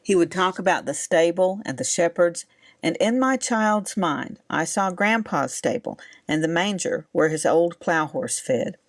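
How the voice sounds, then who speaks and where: A woman's voice: lowish voice, lively intonation, unhurried, dry recording, clean studio sound. A woman from the Blue Ridge Mountains reading a scripted, nostalgic narration in a storytelling style.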